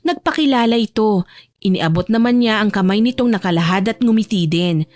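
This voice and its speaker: A woman's voice: mid-range voice, animated, a little fast, darkish tone. A woman narrating a scripted romantic audio drama as a storyteller.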